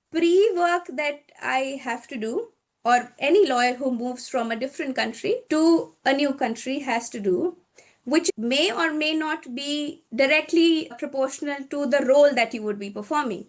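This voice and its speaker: A woman from India, answering interview questions in a measured, explanatory way; her is Hindi. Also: high voice. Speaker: guest, corporate lawyer.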